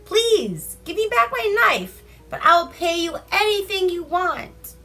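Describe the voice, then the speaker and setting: A woman's voice: very high voice, animated, slow, pushed and bright, soft background music, pitched above the performer's own voice, exaggerated voice. A woman voicing a timid, pleading male raven bargaining in a folk tale. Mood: begging.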